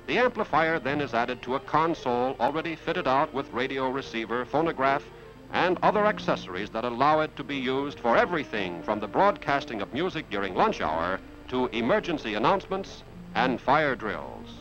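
An American man narrates in the scripted style of a 1950s industrial film. His pitch moves in lively swings as he explains things like an announcer. The sound is a dull, band-limited vintage film track with faint music underneath. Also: moderate pace. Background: background music.

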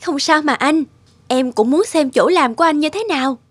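A woman voicing a gentle, insecure young working-class girlfriend in a cartoon drama, earnest and hesitant. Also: high voice, normal speed. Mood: cheerful. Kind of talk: animated drama dubbing. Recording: music under the voice.

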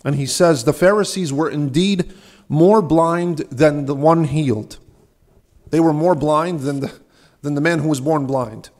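A young man, a subdeacon, giving an earnest, measured sermon at a church lectern, asking rhetorical questions. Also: a little high, darkish tone. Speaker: subdeacon preaching. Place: large ornate church, at a lectern. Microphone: lectern microphone.

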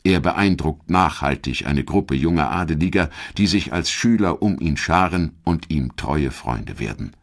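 A man reading scripted documentary narration in a measured, articulate storytelling manner. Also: extremely low pitch, animated.

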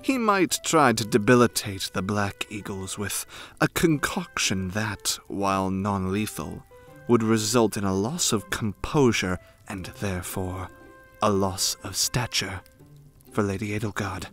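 A man acts out a sinister young nobleman's retainer for an ASMR roleplay. His voice is low and his delivery unhurried, formal and scornful. He sounds coldly devoted and suspicious, with music playing faintly underneath. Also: fairly bright tone. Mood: ominous, scornful. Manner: formal, measured, haughty, ominous. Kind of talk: ASMR roleplay, fan audio drama.

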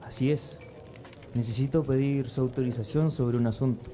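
An actor playing a zealous, formal young persecutor in a religious radio drama, deliberate and earnest. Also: male voice, medium pitch, steady tone, dark tone, slight background noise, natural voice.

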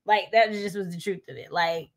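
A woman's voice: fairly low pitch, normal speed. A young American woman in a casual book discussion, speaking emphatically and with opinion, repeating her points for effect. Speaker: readalong co-host. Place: room at home, video call.

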